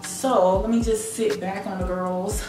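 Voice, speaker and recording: A woman's voice: medium pitch, steady tone, a little slow. An American woman in her late twenties from South Carolina, chatting casually to camera and addressing viewers like girlfriends. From South Carolina, United States. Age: late 20s. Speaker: YouTube content creator. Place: indoors, living room at home. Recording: echoey room, distant mic, music behind. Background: background music.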